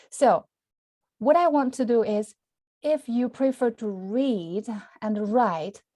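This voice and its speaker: A woman teaching a class in English, often restarting her phrases and laughing softly. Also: medium pitch, dry recording. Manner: frequent restarts and soft laughs; speaks English as second language, simple grammar. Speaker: Mandarin teacher. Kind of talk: online class talk.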